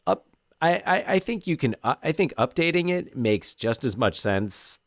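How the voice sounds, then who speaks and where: Male podcast co-host, mid-range voice, animated chatty delivery, dry close-mic sound. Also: mid-range voice, normal speed, no room sound. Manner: animated, frequent restarts. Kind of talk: casual chat.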